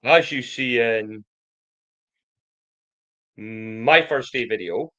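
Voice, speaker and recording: A man who stammers gives a prepared personal testimony in slow, deliberate, controlled speech, with occasional blocks on sounds. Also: mid-range voice, fairly bright tone, highs cut off. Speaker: programme member giving a testimonial.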